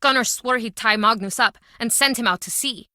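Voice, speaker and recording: A woman's voice: on the high side, moderate pace, sharp tone, dry recording, studio quality. A woman playing a guarded, bitter small-town pub owner in a video game, speaking in a curt, brooding, dramatic way. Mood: terse. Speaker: game character.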